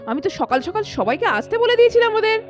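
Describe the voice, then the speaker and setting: A woman's voice: extremely high pitch, sing-song, very fast, soft background music. A woman voicing a kindly, busy mother or schoolteacher in a children's cartoon, reassuring and motherly. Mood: kindly, brisk.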